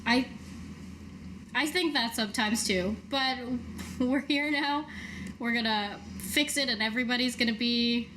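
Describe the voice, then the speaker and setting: A woman playing a world-weary but kind adventuring captain in a tabletop game, halting and understated. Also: a little high, noisy background, lightly acted. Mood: reflective, resigned.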